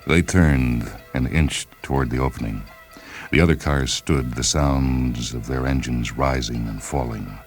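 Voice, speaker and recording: Extremely deep-voiced male story narrator. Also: a little slow. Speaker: narrator and reader of short stories. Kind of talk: scripted dramatic story narration. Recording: music behind the voice.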